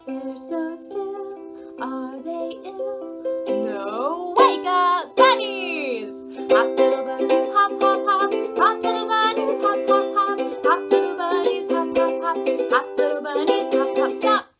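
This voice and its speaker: A woman children's librarian doing an animated read-aloud for preschoolers, expressive, with dramatic pauses and sing-song emphasis. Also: extremely high pitch, over loud music. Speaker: children's librarian, storytime host.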